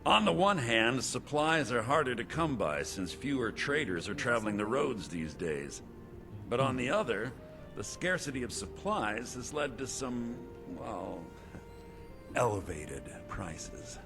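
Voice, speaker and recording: An actor playing an eager, oily, greedy shopkeeper in a fantasy video game, with theatrical, ingratiating sales patter. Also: male voice, medium pitch, big pitch swings, measured pace, creaky, over music. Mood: sly, confiding. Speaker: general store merchant.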